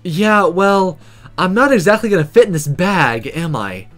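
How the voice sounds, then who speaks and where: A guarded, indignant young country girl from a story game, read by a let's player. She is easily flustered and her pitch moves around a lot, over music. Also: lively intonation, a little slow, close to an everyday voice. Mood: defensive, flustered. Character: defensive, easily flustered country girl, new magic student.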